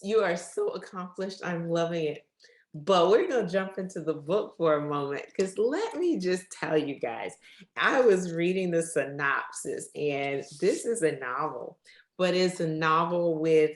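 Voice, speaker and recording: Lower-voiced woman host, super enthusiastic faith podcast vibe, echoey room. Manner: enthusiastic, preacherly.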